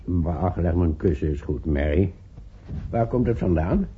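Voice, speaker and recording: Husky, querulous old man. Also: sits low, animated, unhurried, heavily acted. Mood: weary, expectant. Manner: plaintive, testy, dramatic swings from murmur to outburst. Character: lonely, paralysed 72-year-old man, querulous and self-pitying. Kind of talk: radio play acting in Dutch. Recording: old radio play, narrow phone-like muffled sound.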